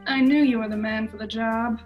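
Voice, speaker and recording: A woman's voice: on the high side, steady tone, a little fast, muffled recording, lightly acted. A woman playing a sultry, scheming femme fatale in a comedy noir radio drama. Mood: coy, persuasive. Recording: music bed over video-call audio. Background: music.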